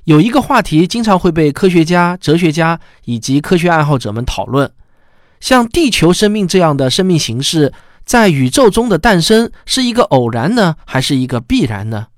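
A male presenter introducing a programme in a fairly high voice. His intonation is lively and varied, and the recording is dry and close. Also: normal speed, dry recording. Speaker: host of a science show. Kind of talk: scripted show introduction.

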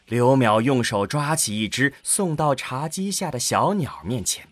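A male narrator of a science fiction audio drama with a mid-range voice. He reads slowly and dramatically, with plenty of rise and fall. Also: medium pitch, animated, dry recording.

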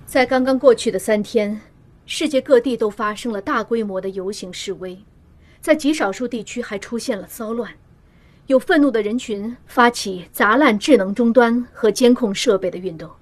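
Lady acting calm official chairing a meeting in an audio drama, voice a bit high, measured and formal. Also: lightly acted. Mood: composed, formal. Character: composed female official chairing an emergency summit. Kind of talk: radio drama formal briefing.

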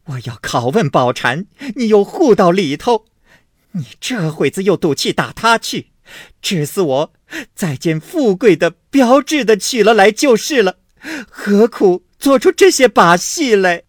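A reader voicing a spiteful, scheming young wife who sneers and wails accusations in a storybook reading. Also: female voice, rumbling low, sing-song, takes it slowly, long pauses, breathy edge, dry recording, studio quality, voice pushed up, heavily acted. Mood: scornful shrill.

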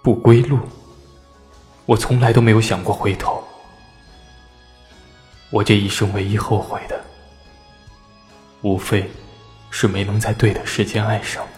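Man acting low-voiced heartbroken young noble husband, reverberant. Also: flat delivery, a little slow, long pauses, darkish tone, close to an everyday voice. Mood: grieving, devoted. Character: embittered, lovelorn young noble husband of a princess. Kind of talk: Chinese historical audio drama acting. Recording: long reverb, faint music behind.

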